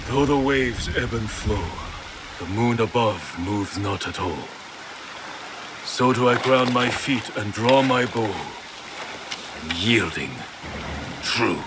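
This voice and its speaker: An actor playing a proud, bitter samurai master archer in a game cutscene, grave and formal in delivery. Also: male voice, fairly low pitch, expressive, slow pace, pauses often. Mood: solemn, resolute. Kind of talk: dramatic game cutscene acting. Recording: noisy rain-like ambience behind.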